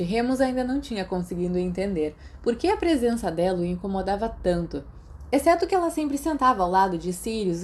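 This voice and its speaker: A woman's voice: medium pitch, darkish tone, a little hiss or hum. A woman with a Brazilian accent reading scripted story narration as an audiobook narrator.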